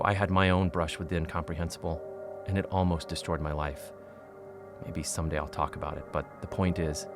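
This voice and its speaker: Skeptical male paranormal investigator played by an actor, American, low register, casual wry podcast narration for an audio drama. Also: close to an everyday voice. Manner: casual, confiding, wry, swears. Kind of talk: scripted narration and field recording in audio drama.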